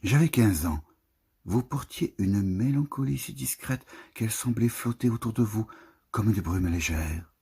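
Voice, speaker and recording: A man recites literary poetry in a very husky, breath-laden voice. His pitch sits a little low and his delivery is slow. He lingers over each phrase with a solemn, lyrical manner. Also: darkish tone. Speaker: poetry reader.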